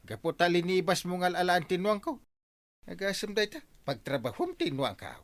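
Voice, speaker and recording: An actor playing an excitable village captain in an Ilocano-accented radio drama, scolding and reproachful in manner. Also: male voice, sits high, normal speed, no echo. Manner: animated, reproachful, swooping delivery. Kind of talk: Philippine radio drama acting.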